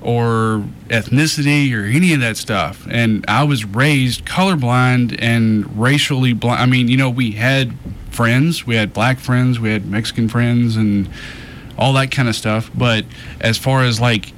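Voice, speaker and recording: A man in a casual chat, speaking haltingly and restarting his sentences. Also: medium pitch, fairly bright tone, slight background noise. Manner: halting, hesitant, restarts often.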